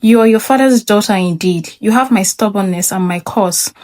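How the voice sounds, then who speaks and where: A woman acts out a disciplined father and retired sergeant in a storybook tale. He is stern and grave, speaking with calm authority. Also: mid-range voice, moderate pace, lightly acted. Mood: grave resignation.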